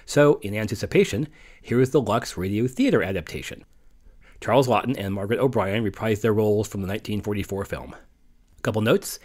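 A man hosting a podcast, reading an informal, explanatory introduction from notes. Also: on the low side. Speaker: podcast host.

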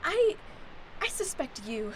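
A suspicious, easily appalled lady of the manor, played by a woman, speaks in a high, swooping voice. She is formal and melodramatic in old-time radio style, with faint noise behind. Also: sing-song, slow, fairly bright tone, heavily acted, rough recording. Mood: stern, exasperated. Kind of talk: improvised old-time radio play acting.

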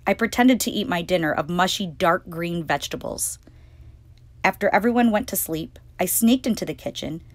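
A woman hosting storytime, reading a children's picture book aloud with expressive, clearly phrased delivery. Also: fairly low pitch, no echo. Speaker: storytime reader and host.

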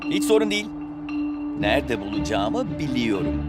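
A man's voice: mid-range voice, very animated, moderate pace, close to an everyday voice. Guy playing young cartoon footballer, loyal sensible pal, voice jumps all over, music behind. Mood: thoughtful. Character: loyal, level-headed young footballer, the star's teammate. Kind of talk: animated cartoon dub acting.

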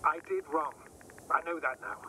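Actor as high-voiced, earnest male space commander. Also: expressive, normal speed, noisy background, close to an everyday voice. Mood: remorseful. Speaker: mission commander. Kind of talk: dramatic video game acting.

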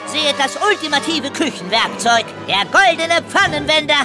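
An actor playing an eager, naive little sponge in a cartoon audio drama, excitable and childlike. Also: male voice, extremely high pitch, normal speed, over music. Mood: awed.

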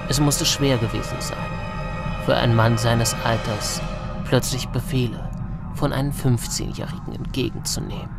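A man's voice: medium pitch, creaky. A man narrating a scripted science-fiction audio drama. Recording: music under the voice.

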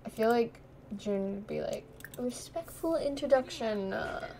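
A woman's voice: on the high side, expressive, unhurried, gravelly, dark tone, poor audio. A young woman who is losing her voice, giving casual, giggly commentary while playing a life-sim game and chatting to the characters. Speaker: gaming streamer. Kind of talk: casual Let's Play commentary.

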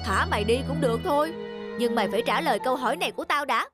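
An actor voicing a bad-tempered, lonely bully of a fox in a cartoon, grumbling and threatening in drawn-out complaints. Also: sits high, animated. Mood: menacing, suspicious. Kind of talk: cartoon dub acting. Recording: music under the voice.

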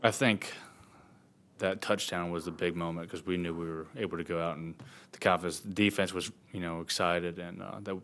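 Young male college quarterback at a press conference, deep voice. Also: steady tone, moderate pace, a bit bright. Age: 20s. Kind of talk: postgame press conference answers. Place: press conference table indoors.

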